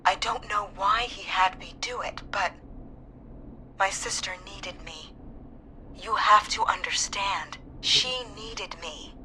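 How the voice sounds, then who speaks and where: A woman's voice: mid-range voice, takes it slowly, sharp tone, rough recording. An actress playing a frightened, pleading woman in a video game, earnest and distressed.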